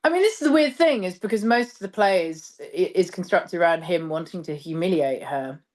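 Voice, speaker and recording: A woman's voice: on the low side, expressive, fairly quick, darkish tone. A woman speaking as a theatre director in a casual interview, thoughtful and discursive, letting phrases trail as she explains. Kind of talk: casual interview chat about stage work.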